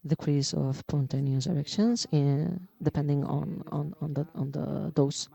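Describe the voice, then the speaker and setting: Halting man giving a medical lecture. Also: fairly high pitch, fairly even intonation, gravelly, warm tone. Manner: halting, many fillers and restarts. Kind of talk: conference lecture.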